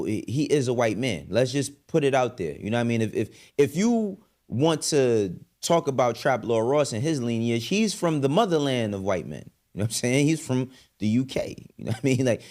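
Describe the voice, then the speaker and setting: A man's voice: mid-range voice, darkish tone. A man with a New York accent giving opinionated, casual commentary, speaking emphatically in profane street slang with rhetorical questions. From the Bronx, New York. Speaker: commentary show host.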